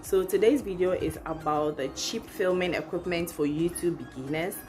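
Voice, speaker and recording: A woman's voice: lowish voice, moderate pace, darkish tone. A young woman with a Ghanaian accent chats casually and expressively to her viewers as a channel host, recorded in a living room. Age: young adult. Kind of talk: casual chat to camera. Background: background music.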